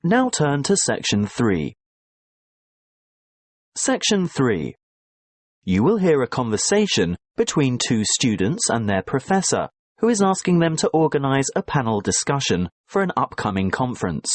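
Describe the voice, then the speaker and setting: A man reading the instructions of a recorded English listening exam in a clear, measured way. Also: mid-range voice, sing-song, no echo. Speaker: exam narrator and actor. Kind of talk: scripted recorded listening test.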